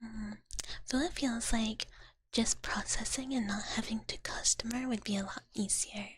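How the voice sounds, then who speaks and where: A woman voicing a sweet, ditzy dragon big sister for live ASMR, whispering softly and breathily up close in cutesy valley-girl talk. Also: a little high, steady tone, measured pace, breathy edge, no echo. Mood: soft, playful. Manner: cutesy valley-girl filler-laden talk in third person. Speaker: streamer. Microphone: close ASMR microphone.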